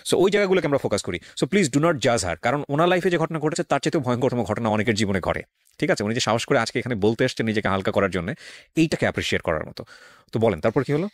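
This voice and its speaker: A male radio host with a Bangladeshi accent talks quickly at a medium pitch, reflecting and advising. He often slips into English phrases. The recording is dry. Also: animated, dry recording. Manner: frequently switches into English phrases.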